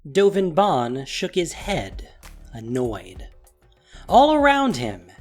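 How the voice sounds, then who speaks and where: Narrator, male: dramatic scripted storytelling, swooping intonation, emphatic stresses, soft music behind. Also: on the high side.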